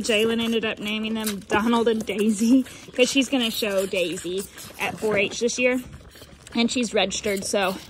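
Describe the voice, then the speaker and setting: A woman's voice: on the high side, fairly bright tone, slight background noise. A young woman farmer chatting to her phone camera outdoors while doing chores on a cattle farm. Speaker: farmer and vlogger. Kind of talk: casual vlog narration. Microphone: handheld phone camera.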